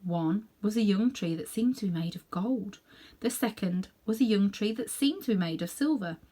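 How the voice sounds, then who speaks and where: A woman reading a children's book aloud in an animated, dramatic way, sometimes softening toward hushed tones. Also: medium pitch, normal speed, husky. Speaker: storybook reader. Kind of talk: expressive read-aloud narration for children.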